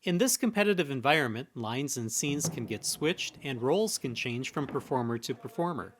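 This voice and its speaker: A man's voice: medium pitch, expressive, moderate pace, fairly bright tone, slight background noise. A man reading scripted news narration as a reporter.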